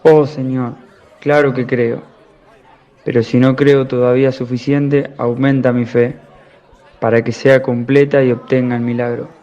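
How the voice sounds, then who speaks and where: A man acts out an anguished father in a biblical radio play, begging earnestly. His pitch stays fairly flat within a middle register. The sound is dull, with a faint crowd behind him. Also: unhurried, natural voice. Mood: desperate, imploring. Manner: imploring, earnest. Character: anguished pleading father of a possessed boy. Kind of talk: religious radio drama acting.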